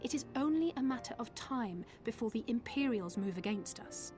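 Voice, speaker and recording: A woman's voice: on the high side, expressive, normal speed, music in the background, lightly acted. A woman playing a young, articulate adventurer of noble bearing in a fantasy game, confident, formal and dryly pointed. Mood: brisk, dry.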